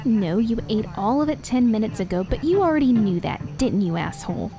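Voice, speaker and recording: A no-nonsense female detective played by an actress speaks in a fairly high voice with faint music behind. She sounds dry, businesslike and exasperated in an audio drama. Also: sing-song, a little fast, dark tone. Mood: dry, annoyed.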